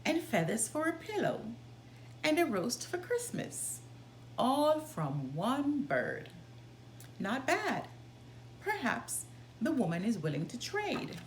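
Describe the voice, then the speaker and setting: A woman's voice: a little high, huge pitch swings, takes it slowly, pauses often, exaggerated voice. A woman voicing a cheerful, naive, eager young man in a read-aloud storybook. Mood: scheming, hopeful. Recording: roomy, with clear background noise.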